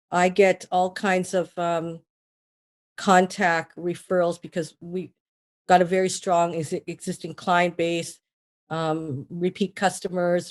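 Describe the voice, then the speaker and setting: A woman, a mortgage broker being interviewed, talking casually with many restarts and fillers. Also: fairly low pitch. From Trinidad. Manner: rambling, with frequent restarts and fillers.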